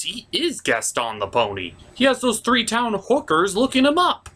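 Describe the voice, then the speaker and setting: Animated man reviewing a cartoon episode. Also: a little high. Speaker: cartoon review show host. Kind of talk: casual episode review commentary.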